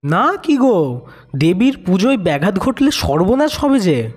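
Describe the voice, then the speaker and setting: A man's voice: sits high, very animated, unhurried, breathy edge, pitched above the performer's own voice, full character voice. A man voicing an anxious villager who begs urgently for help in an audio story. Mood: alarmed, pleading.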